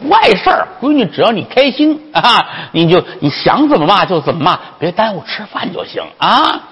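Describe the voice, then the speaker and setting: A man's voice: high-pitched, sing-song, moderate pace, a bit bright, husky, in a large hall, pitched above the performer's own voice, full character voice. A man voicing a fawning, scheming old brothel madam who repeats herself eagerly in comic storytelling. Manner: effusive, repeats phrases eagerly.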